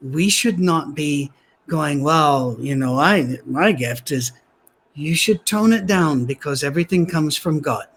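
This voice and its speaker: A man teaching a Bible lesson in a soft voice, conversational and dryly humorous. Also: a little high, a little slow, husky. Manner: dry humor, conversational asides. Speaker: preacher. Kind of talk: sermon-style Bible teaching.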